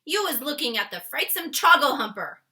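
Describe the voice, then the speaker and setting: A woman voicing a big, kind male giant who mangles his words and exclaims loudly. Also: high-pitched, big pitch swings, normal speed, pitched above the performer's own voice, exaggerated voice. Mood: exasperated.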